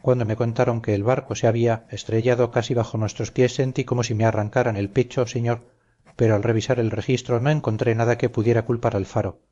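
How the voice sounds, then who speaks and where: A man's voice: medium pitch, level tone, fast, mellow, highs cut off, natural voice. A man playing a dutiful old lighthouse keeper and veteran sailor in an audio story, earnest and deferential, speaking formally. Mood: troubled, grave. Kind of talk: audio story character dialogue.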